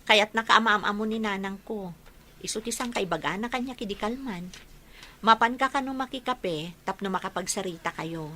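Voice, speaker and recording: An actress playing a bashful, mildly protesting young working daughter in a radio drama. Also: female voice, medium pitch, normal speed, pushed and bright, slight background noise, lightly acted. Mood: friendly. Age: young adult.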